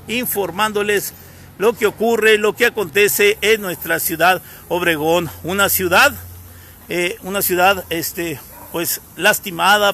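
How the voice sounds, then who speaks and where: A man's voice: sits high, sharp tone, a little hiss or hum. A man from Mexico reporting live from a city street crime scene, talking in an indignant, repetitive way and criticizing the authorities, recorded on a phone he holds. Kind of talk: live on-scene news commentary. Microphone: phone camera held by the reporter.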